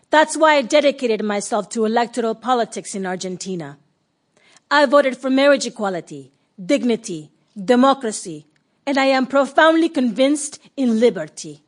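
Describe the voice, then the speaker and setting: Actress as proud Argentine woman official, higher pitch, earnest and declarative stage testimony. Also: natural voice. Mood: proud conviction. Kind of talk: documentary stage play monologue.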